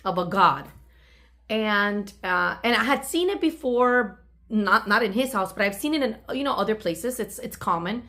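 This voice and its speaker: Woman, mid-range pitch, casual vlog storytelling, conversational, re-enacts dialogue. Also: lively intonation, normal speed, pushed and bright. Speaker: video channel host.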